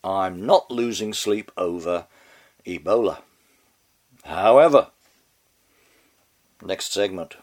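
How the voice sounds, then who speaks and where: A man's voice: deep voice, takes it slowly, pauses often, a little mellow. A man hosting a spoken commentary show with a heavy head cold, close to losing his voice, reading a scripted monologue in a dry, wry, mannered way. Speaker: podcast host.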